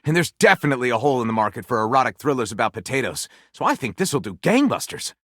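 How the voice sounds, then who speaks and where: An actor playing a whimsical, mock-literary storyteller in a video game, narrating grandly with comic commentary. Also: male voice, mid-range voice, very animated, quick pace, fairly bright tone, no room sound, clean studio sound. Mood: enthusiastic.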